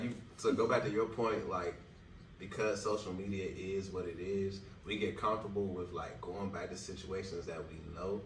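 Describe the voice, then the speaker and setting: Man in his thirties, very low voice, reflective, slangy, echoey room, distant noisy mic. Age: 30s. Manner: reflective, loose slang-heavy talk with many fillers. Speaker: podcast co-host. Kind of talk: casual chat.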